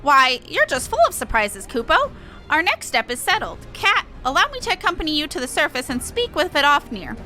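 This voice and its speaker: A small, eager game creature, voiced by a woman, high and animated. It speaks earnestly, like a perfectionist crafter, over clear background music. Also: brisk, exaggerated voice. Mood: resolute. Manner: earnest, exclaiming, ends remarks with a verbal tic. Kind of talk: reading game dialogue aloud in character.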